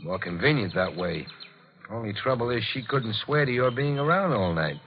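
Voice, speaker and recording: A man plays a shrewd American police lieutenant from old radio mystery, needling and falsely casual. His folksy, offhand talk moves up and down with lively inflection. The vintage recording is dull, with faint noise. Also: mid-range voice, normal speed, natural voice. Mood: sly, casual. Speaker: actor in radio drama. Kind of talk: 1940s radio mystery acting.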